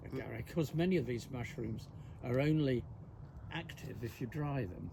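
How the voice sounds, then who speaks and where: A man's voice: medium pitch, normal speed, gravelly, background noise. A man chatting with a stranger outdoors, hesitant and polite, with frequent restarts. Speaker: passer-by. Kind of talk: casual chat.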